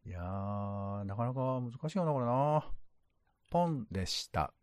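A man's voice: mid-range voice, lively intonation, a little slow, darkish tone, dry recording, studio quality. A man co-hosting a podcast, chatting casually and responding with informal asides. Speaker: podcast co-host. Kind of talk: casual chat.

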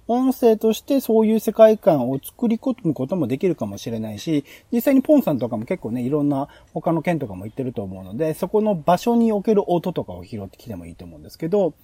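Casting: male podcast host, very soft dark tone with lively intonation, talking through concepts in a casual meeting. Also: on the high side, quick pace, hardly any pauses, breathy edge, no echo. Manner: explanatory, thinking aloud. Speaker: podcast host presenting program ideas. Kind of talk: casual planning-meeting talk.

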